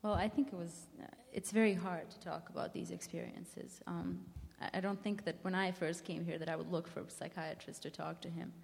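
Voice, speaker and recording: A woman's voice: fairly low pitch, fairly even intonation, fairly quick, gravelly, few pauses, darkish tone. A young woman from Bosnia with a Bosnian accent, speaking earnestly and reflectively as a panelist in a large hall, often searching for words. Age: young adult. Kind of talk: personal testimony on a panel. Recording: long hall reverb.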